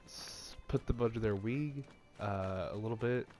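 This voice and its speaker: A man's voice: lowish voice, a little slow, darkish tone, faint music behind. A young man with a stuffy nose chatting casually about video games, rambling with many fillers and restarts. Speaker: gaming channel host. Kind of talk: casual gaming vlog chat.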